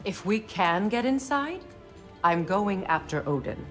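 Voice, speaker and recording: Game casting: a fierce warrior goddess, expressive mid-range voice, deliberate and threatening. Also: female voice, mid-range voice, soft background music. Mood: determined. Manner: deliberate, commanding. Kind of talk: video game cutscene acting.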